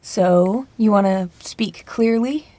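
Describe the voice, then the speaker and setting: A woman's voice: mid-range voice, takes it slowly, warm tone, no echo. A woman author reading her own story aloud with giggly, self-mocking asides, and coaching a guest through recording. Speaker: author directing a recording session. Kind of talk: reading and recording-booth chat.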